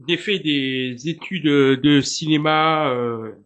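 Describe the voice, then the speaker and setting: A man, an actor and director, describing his work as an interview guest, speaking at a measured rate with fillers. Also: fairly high pitch, fairly bright tone, clean tone, muffled recording. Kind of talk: casual interview chat.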